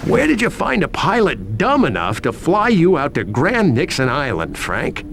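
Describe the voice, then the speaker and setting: An actor playing a cocky, taunting police interrogator in a video game, needling and overly familiar. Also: male voice, fairly high pitch, wildly swooping pitch, moderate pace, vocal fry, noisy background, exaggerated voice. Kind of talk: video game cutscene dialogue. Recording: music behind the voice.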